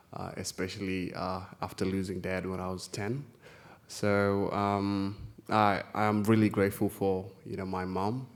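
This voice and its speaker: A man in his twenties from South Sudan, giving an informal personal talk on a conference stage with a hesitant, halting delivery. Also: low voice. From South Sudan, born in a Kenyan refugee camp. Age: 20s. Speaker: guest speaker, youth volunteer. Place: conference stage, live event.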